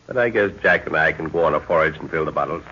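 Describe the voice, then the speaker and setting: An actor playing a calm, authoritative middle-aged father leading a jungle expedition in a 1930s radio adventure, speaking in a measured, commanding way. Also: extremely low pitch, slightly rough, exaggerated voice. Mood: calm, reassuring. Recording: muffled, noisy 1930s radio recording.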